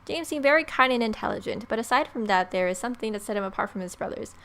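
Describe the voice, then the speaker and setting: A woman's voice: fairly high pitch, lively intonation, quick pace, creaky, fairly bright tone. A woman in her twenties reading visual novel text aloud with casual, joking asides, recorded at home. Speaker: gaming video creator. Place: small room at home, indoors.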